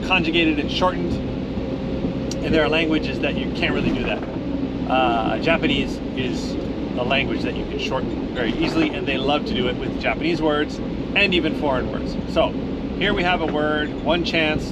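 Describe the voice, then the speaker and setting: A man with a somewhat high voice talks loosely and casually to his viewers, restarting sentences as he goes. A loud, steady background noise runs under the whole recording. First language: English. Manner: relaxed, rambling, with fillers and restarts. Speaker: vlogger. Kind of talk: casual talk to camera.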